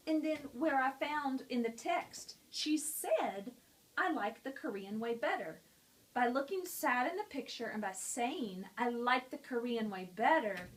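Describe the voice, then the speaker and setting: A woman's voice: on the high side, a bit bright, a little hiss or hum, rough recording. A woman teacher at a school, explaining a picture-book lesson to students in a friendly, explanatory way.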